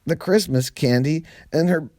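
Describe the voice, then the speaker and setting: A man reading a humorous story aloud in an expressive, theatrical way with deliberate phrasing. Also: fairly high pitch, no room sound, studio quality.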